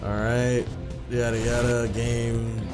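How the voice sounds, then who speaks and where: A man's voice: mid-range voice, completely flat delivery, slow pace, music in the background. A man doing a casual let's play, swearing and repeating himself in slang as he comments and reads game text aloud. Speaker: gaming video host.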